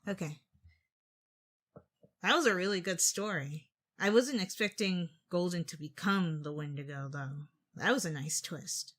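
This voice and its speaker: A man's voice: high voice, measured pace, fairly bright tone. A man giving casual, off-the-cuff reaction commentary as the host of a video.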